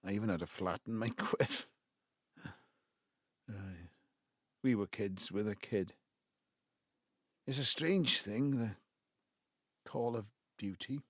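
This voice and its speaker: Husky, low-voiced Welsh patriarch. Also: male voice, fairly bright tone, dry recording, clean studio sound, full character voice. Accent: South Wales Valleys. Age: 70s. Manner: halting, reflective, breaking into low muttering. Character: embittered ageing Welsh patriarch, wistful and self-justifying. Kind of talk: naturalistic audio drama monologue.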